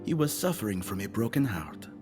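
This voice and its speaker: A man's voice: lowish voice, very animated, brisk. An actor playing a guarded, bitter salesman in an audio drama, speaking in a pointed, wry and insinuating way. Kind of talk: scripted audio drama acting. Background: music.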